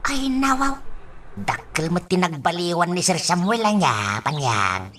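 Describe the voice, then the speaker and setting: A woman playing an excitable, admiring sidekick in a radio drama, full of drawn-out exclamations. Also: lowish voice, a little hiss or hum. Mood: surprised. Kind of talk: Filipino radio drama acting.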